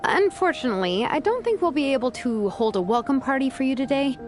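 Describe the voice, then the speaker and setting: Casting: sensible female squad leader, fairly high animated voice, practical, anime game with music behind. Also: a little fast. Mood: welcoming, apologetic. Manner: practical, instructing. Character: sensible, responsible female team leader, considerate trainer. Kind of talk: anime game character acting.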